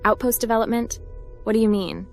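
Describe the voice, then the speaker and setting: A woman's voice: a little high, brisk, vocal fry, faint music behind. A woman playing a polite, curious spacefaring adventurer in a video game. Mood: curious, friendly. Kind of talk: video game protagonist dialogue.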